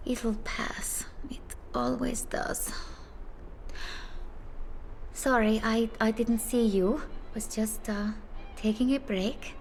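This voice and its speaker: An actress playing a haunted, fragile village woman in a video game, halting and somber, often dropping to a breathy near-whisper. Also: fairly high pitch, fairly even intonation, slight background noise, poor audio. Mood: uneasy, apologetic. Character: haunted, fragile young village woman who senses the dead. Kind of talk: video game character dialogue.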